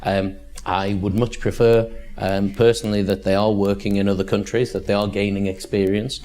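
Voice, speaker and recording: A man's voice: lowish voice, flat delivery, moderate pace, dark tone. A man from Liverpool with a Liverpool accent, speaking as a panelist at a conference in a direct, matter-of-fact way. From Liverpool, England. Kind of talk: conference talk.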